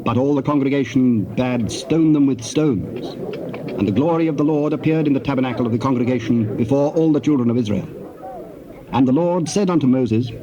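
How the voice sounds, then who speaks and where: A man's voice: mid-range voice, a little fast, a crowd in the background. A man narrating scripture in a formal scripted style. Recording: muffled, noisy old tape.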